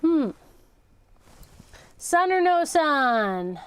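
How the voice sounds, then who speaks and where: Woman, high voice, slow pace, casual craft livestream host, chatty, thinking out loud. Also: sing-song. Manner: chatty, self-narrating, repeats words. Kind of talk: casual live craft chat, thinking aloud.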